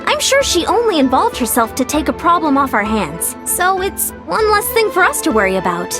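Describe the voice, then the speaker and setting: A woman playing an upbeat, sociable young warrior ally in a video game, with breezy, expressive delivery. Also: sits high, normal speed, music in the background. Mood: reassuring, upbeat.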